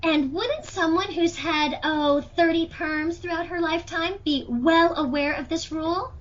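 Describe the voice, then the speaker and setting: A woman plays a young female defense lawyer with a high voice. She questions in a pointed, theatrical way, as in a courtroom radio play. The recording is dull and lo-fi. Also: unhurried, slight background noise. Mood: incisive, accusing. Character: young female defense attorney, chatty then incisive, in courtroom. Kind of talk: amateur audio drama courtroom acting. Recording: dull lo-fi audio.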